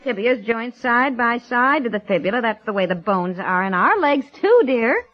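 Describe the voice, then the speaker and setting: An actress playing a brisk, no-nonsense wife and mother who mildly scolds, in an old-time radio family drama. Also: fairly high pitch, animated, close to an everyday voice. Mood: reproving. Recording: dull lo-fi vintage radio recording.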